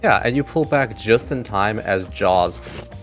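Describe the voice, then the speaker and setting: A man narrating a tabletop game as game master, improvising casually and haltingly. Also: fairly low pitch, animated, soft background music.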